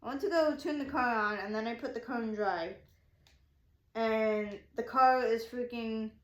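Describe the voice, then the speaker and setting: A woman's voice: fairly high pitch, measured pace. Rambling young woman in echoey room. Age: 20s. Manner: rambling, repeats herself, keeps quoting her own thoughts. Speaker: vlogger. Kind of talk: casual storytelling.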